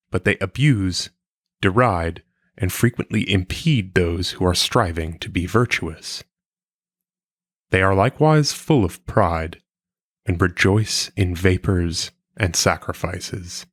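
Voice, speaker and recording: A man reads a scripted philosophical text in a fairly low voice. His delivery is formal and measured, with clearly shaped phrases. The sound is very dry, with hardly any room around it. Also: lively intonation, dry recording. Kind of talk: scripted audiobook-style reading of an old philosophical text.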